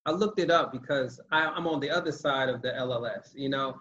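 A man's voice: medium pitch, brisk, sharp tone, muffled recording, a little hiss or hum. A man hosting a casual interview, talking in a friendly, loose way and often repeating words. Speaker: interview host. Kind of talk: casual interview over a call.

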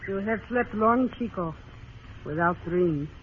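A woman's voice: medium pitch, lightly acted. An actress playing a mysterious, fatalistic young woman in a radio Western. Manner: dramatic, poetic, deliberate. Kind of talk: 1950s radio drama acting. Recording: tinny, faintly noisy old radio recording.

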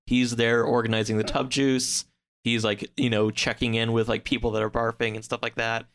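A man speaking as a podcast guest, discussing a book in a casual, halting, conversational way. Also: mid-range voice, level tone, fairly bright tone.

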